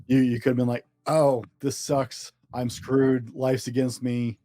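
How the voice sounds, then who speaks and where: A man's voice: mid-range voice, level tone, unhurried, warm tone, dry recording, clean studio sound. A man hosting an interview, encouraging his guest and summing up points for his listeners. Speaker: podcast host.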